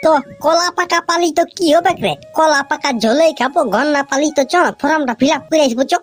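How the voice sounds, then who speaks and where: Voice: high-pitched, fairly quick, faint music behind, lightly acted. A performer voicing a bossy, persuasive village friend in a comic cartoon, nagging and boasting in a rustic Purulia accent. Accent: Purulia, West Bengal.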